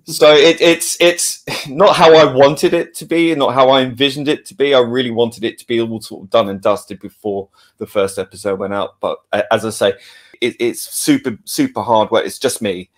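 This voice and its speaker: Mid-pitched man, informal podcast guest. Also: normal speed. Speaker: guest, audio-visual producer and editor. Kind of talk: casual podcast chat. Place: remote online video call.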